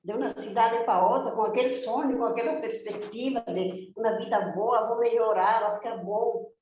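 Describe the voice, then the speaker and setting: A woman's voice: on the high side, fairly even intonation, moderate pace, a little mellow. A woman who learned Portuguese as a second language, speaking with emphatic indignation and piling up rhetorical questions and lists. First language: not Portuguese, learned it on her own. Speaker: guest speaking for domestic workers. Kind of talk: impassioned spoken testimony. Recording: very echoey, dull lo-fi remote audio with faint noise.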